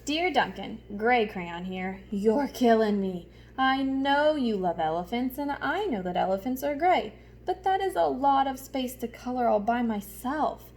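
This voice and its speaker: A woman's voice: fairly high pitch, expressive, measured pace, few pauses, darkish tone, a little hiss or hum. A woman voicing an exhausted, complaining gray crayon from a picture book, pleading wearily. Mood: weary, exasperated.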